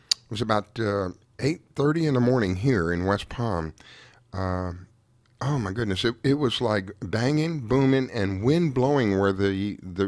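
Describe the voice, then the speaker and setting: Hoarse man hosting a radio show. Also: lowish voice, no room sound. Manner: chatty, rambling, with frequent restarts and fillers. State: hoarse after a late night. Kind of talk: casual talk-radio chat.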